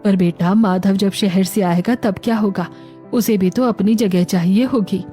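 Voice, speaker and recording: Stern, mellow older Indian mother-in-law, a woman acting. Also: medium pitch, fairly even intonation, a little slow, faint music behind, natural voice. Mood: concerned. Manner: scolding, concerned.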